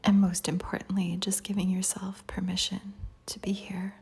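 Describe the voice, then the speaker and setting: A woman guiding a meditation in a soft, breathy near-whisper, calm and soothing, with long pauses between phrases. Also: medium pitch. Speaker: meditation guide. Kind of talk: guided meditation. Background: soft music.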